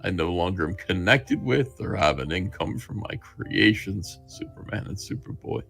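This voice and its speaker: A man's voice: deep voice, expressive, normal speed, vocal fry, fairly bright tone, soft background music, pitched below the performer's own voice, full character voice. A man voicing a bitter, destitute, wronged writer, reading his angry letters aloud in a melodramatic, theatrical way. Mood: broken, bitter. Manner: melodramatic, theatrical, swinging from outrage to near-whisper.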